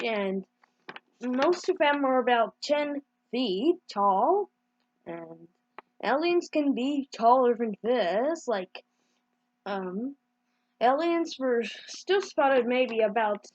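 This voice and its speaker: A woman improvising mock emergency news bulletins as an amateur announcer, with halting delivery and mid-word corrections. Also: on the high side, no room sound, highs cut off.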